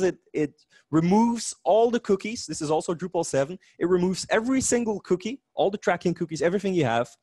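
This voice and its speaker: A man in his forties with a Dutch accent, a native Dutch speaker, giving a technical meetup talk in a lively, joking way with frequent restarts. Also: a little high, no room sound, studio quality. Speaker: conference speaker. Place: meetup room.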